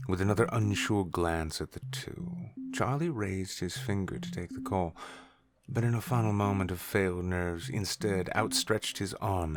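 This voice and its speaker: A man's voice: sits low, background noise. A man narrating a scripted story as its narrator.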